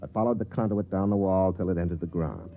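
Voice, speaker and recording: An actor playing a cynical, hard-drinking army space captain in a 1950s radio drama, world-weary and sardonic. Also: male voice, low-pitched, fast talker, narrow, tinny audio. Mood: weary, bitter. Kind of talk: 1950s radio drama acting with first-person narration. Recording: band-limited 1950s radio recording with music.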